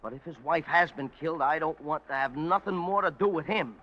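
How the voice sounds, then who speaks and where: An actor playing a whiny, evasive ex-convict informer with slangy, uneducated grammar in a 1950s radio detective drama. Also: male voice, a little high, brisk, lightly acted. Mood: defensive pleading. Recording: muffled vintage radio recording.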